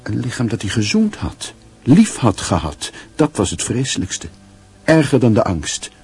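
A male actor playing a grave, brooding storyteller, reading literary narration in a radio drama in a measured, solemn manner. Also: medium pitch, huge pitch swings, darkish tone, slightly rough, faint music behind, full character voice.

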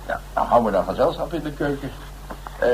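An actor playing a gruff but caring male companion with a Dutch accent, speaking hesitantly and affectionately in a naturalistic radio drama. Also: mid-range voice, animated, fast, slight background noise, rough recording. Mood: fussing, caring.

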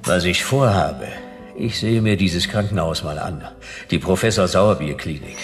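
A man's voice: sits low, unhurried, soft background music. A man playing a world-weary private detective in a noir radio drama, narrating in clipped, laconic, wry phrases. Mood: wry narration. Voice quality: gravelly.

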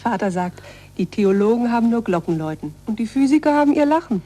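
Actress as echoey, high-voiced dutiful daughter. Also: female voice, lively intonation, fast, warm tone, natural voice. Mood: concerned. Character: Galileo's dutiful, concerned daughter. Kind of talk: 1940s German radio play acting. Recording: echoey room, faint hum, vintage radio.

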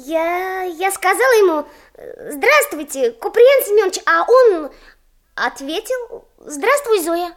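Very high-voiced, self-assured schoolgirl. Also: big pitch swings, slow pace, dry recording, studio quality, full character voice. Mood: careful, innocent. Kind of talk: Soviet radio play acting.

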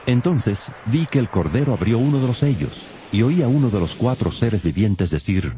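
A man's voice: on the low side, sing-song, moderate pace, slight background noise. A man narrating dramatized scripture in a solemn, dramatic manner. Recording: loud music behind.

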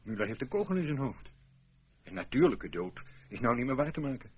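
A man acting in a Dutch radio play as an anxious, excitable fellow pulled into a risky job. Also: medium pitch, brisk, natural voice. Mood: alarmed. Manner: nervous, excitable. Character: anxious, jumpy man recruited as a double. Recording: noisy old radio play recording.

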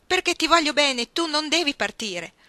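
A woman's voice: medium pitch, animated, a little fast, sharp tone, dry recording. A woman voicing an anguished, furious and defiant teenage girl in an audiobook, with screaming outbursts. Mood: desperate, pleading. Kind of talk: audiobook story acting.

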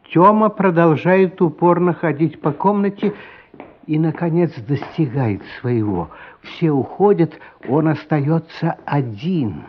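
A man narrating a radio play as a dramatic, expressive storyteller. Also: fairly high pitch, slow, a little hiss or hum. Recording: muffled radio play recording.

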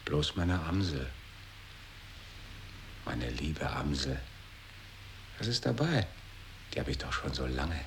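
Evasive one-legged man, slow and lively in pitch, faint hiss on the tape. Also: full character voice. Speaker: actor in a radio play. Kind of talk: experimental radio play acting.

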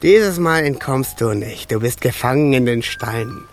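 An actor playing a menacing, boastful water spirit in a children's radio play, declaiming threats theatrically. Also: male voice, mid-range voice, animated, moderate pace, a little hiss or hum, natural voice.

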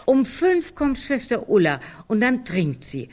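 An actress playing a gentle, fussy, placating older woman in a German radio drama. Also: on the high side, sing-song, measured pace. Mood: hopeful. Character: gentle, fussing older woman, loyal housemate and carer.

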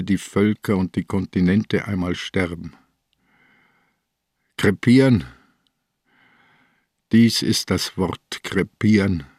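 Weary old male police inspector, radio drama; slow, low, resigned and grave. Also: a little mellow, no room sound, clean studio sound. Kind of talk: radio play inner monologue.

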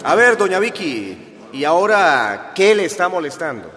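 A man's voice: high voice, big pitch swings, moderate pace, sharp tone, full character voice. An actor playing a pompous, irritated council chairman, scolding and condescending, in a radio drama. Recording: long hall reverb.

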